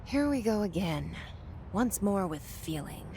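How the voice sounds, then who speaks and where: An actress playing a guarded, determined, wry young heroine in a video game. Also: female voice, mid-range voice, very animated, unhurried, warm tone, slight background noise. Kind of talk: video game voice acting, inner monologue.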